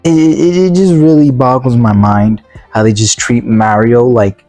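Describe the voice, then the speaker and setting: A man's voice: medium pitch, fairly even intonation, unhurried, warm tone, dry recording, soft background music. A man giving a casual, opinionated review rant in an exasperated, emphatic way, often repeating rhetorical questions. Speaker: movie reviewer.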